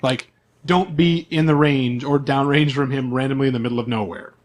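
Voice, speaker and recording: A man's voice: mid-range voice, fairly even intonation, brisk, a crowd in the background. A man speaking casually as a game master and podcast host, narrating a tabletop game.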